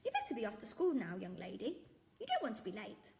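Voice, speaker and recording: A woman voicing a big, jolly, kind-hearted shopkeeper who fusses warmly and scolds softly in a storybook reading. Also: medium pitch, wildly swooping pitch, fast talker, gravelly. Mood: kindly. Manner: warm, fussing, softly scolding. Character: big jolly kindly male shopkeeper, sentimental.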